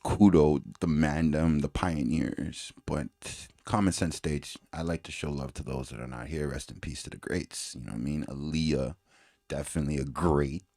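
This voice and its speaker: A man's voice: rumbling low, moderate pace, warm tone. A man runs a loose, slang-heavy interview. He laughs easily and keeps the talk casual. The sound is dry and close, with no room echo. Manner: laid-back slangy talk, laughs often. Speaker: show host.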